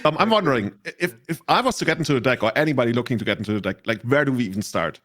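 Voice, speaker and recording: A man's voice: medium pitch, expressive, very fast, fairly bright tone. A man co-hosting a tournament interview, joking casually and stumbling over his restarts. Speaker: co-host.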